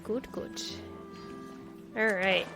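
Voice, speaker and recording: A woman's voice: mid-range voice, extremely slow, gravelly. A woman giving casual livestream commentary as she plays a video game, with playful exclamations and quaint interjections. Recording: game music behind the voice.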